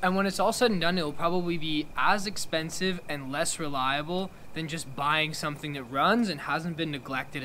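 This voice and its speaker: A man's voice: a little high, a little hiss or hum. Young man chatting in his garage. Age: 20s. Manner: relaxed, explains hands-on repair steps. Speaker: motorcycle restoration vlogger. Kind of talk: casual talk to camera. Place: home garage and driveway, outdoors and indoors. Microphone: handheld camera at arm's length.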